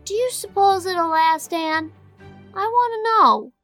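Woman doing an eager, high little boy. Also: slow, a bit bright, faint music behind, full character voice. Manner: eager, questioning, pressing. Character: curious chatty little boy, earnest. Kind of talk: audiobook character voice.

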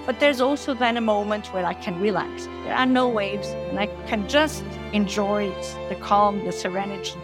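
A woman with a mid-pitched voice speaks in a calm, dreamy way. Music plays clearly behind her. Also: normal speed, hardly any pauses. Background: background music.

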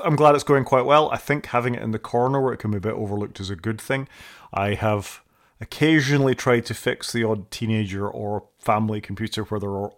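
Brisk, gravelly podcast co-host. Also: male voice, medium pitch. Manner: chatty, upbeat and self-deprecating. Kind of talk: casual chat.

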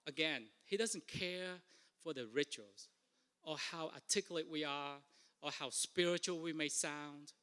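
A man's voice: a little high, measured pace, sharp tone, clear, resonant tone. A man with a Vietnamese accent preaching a sermon as pastor, earnest, often repeating and restarting his words. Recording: long reverb of a large hall.

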